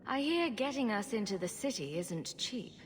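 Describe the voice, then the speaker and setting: An actress playing a guarded young refugee mage in a video game, with a measured, questioning way of talking. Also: female voice, mid-range voice, very animated, in a large hall, noisy background, close to an everyday voice. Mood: inquiring. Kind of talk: video game dialogue acting. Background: music.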